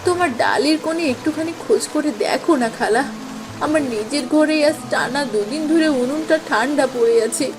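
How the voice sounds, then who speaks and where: Woman acting high-pitched, distressed village mother. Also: a little fast, over music, close to an everyday voice. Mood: anxious pleading. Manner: plaintive, pleading. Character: distressed poor village mother, anxious and pleading. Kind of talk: Bengali moral-story cartoon voice acting. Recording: faint rain noise behind.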